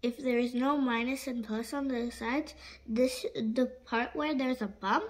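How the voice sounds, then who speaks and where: A woman's voice: fairly high pitch, steady tone, unhurried. A young girl hosting a casual hands-on toy unboxing indoors at a table. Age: child. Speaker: toy review host. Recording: clicky, noisy home recording.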